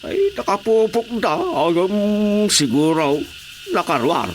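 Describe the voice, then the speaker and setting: A flustered older Ilocano countryman from a radio drama, acted by a man. His voice runs fairly high and jumps around animatedly, over faint background noise. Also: huge pitch swings, slow, exaggerated voice. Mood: flustered, complaining. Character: flustered older male villager reporting missing goats.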